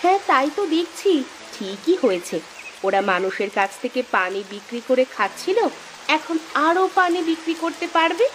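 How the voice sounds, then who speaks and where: A woman voicing a worried, sharp-tongued village housewife in a moral-tale cartoon. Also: high voice, very animated, normal speed, a little hiss or hum, poor audio. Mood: scolding.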